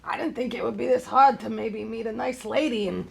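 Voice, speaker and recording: A woman's voice: on the high side, fairly even intonation, a little fast, gravelly, darkish tone, husky, exaggerated voice. A woman playing an anxious, lovelorn male bar owner in his fifties, exasperated and stammering, at a live comedy table read. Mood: whiny, dejected. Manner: exasperated, self-pitying, stammering. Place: theater stage, live show. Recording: live stage recording, faint background noise.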